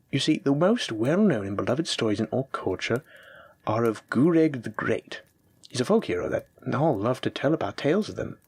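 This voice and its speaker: Guy doing a nerdy kind dragon-blood librarian boyfriend, bit husky, earnest n reassuring, roleplay audio thing. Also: mid-range voice. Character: kind, bookish dragon-blooded male librarian, a devoted partner. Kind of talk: intimate roleplay audio drama monologue.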